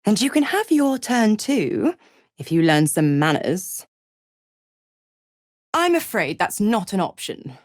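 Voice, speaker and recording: A woman's voice: medium pitch, very animated, slow pace, long pauses, no echo, studio quality. A woman playing a mocking, scheming female devil in a video game, theatrical, teasing and condescending. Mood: taunting, condescending. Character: seductive, mocking, scheming female devil, smugly cruel patron. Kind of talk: video game character acting.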